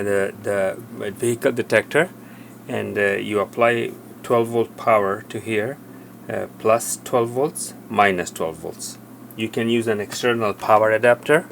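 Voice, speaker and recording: A man presenting an unscripted technical demonstration, explaining step by step in a halting, plain way. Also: lowish voice, gravelly, a little hiss or hum. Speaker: tech tutorial presenter. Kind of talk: hands-on product demonstration, unscripted.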